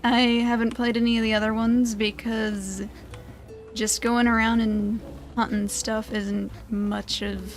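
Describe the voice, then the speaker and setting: A woman's voice: on the high side, level tone, unhurried. A woman giving casual let's play commentary in a rambling, conversational way as host of a gaming video. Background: game music.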